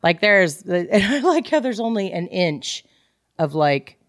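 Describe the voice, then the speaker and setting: A woman podcast co-host with a very lively, swinging delivery and a middle pitch. She retells a story casually, with jokes and salty asides, in a close, dry recording. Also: a little slow, no echo. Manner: jokey, animated, salty-tongued storytelling. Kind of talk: casual book club chat retelling a plot.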